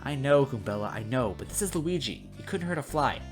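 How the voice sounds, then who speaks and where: A man voicing a sweet, stern and compassionate princess who runs a police station, speaking softly in a story. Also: medium pitch, expressive, fairly quick, soft background music, lightly acted. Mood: patient. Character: soft-voiced, sweet but stern princess police chief.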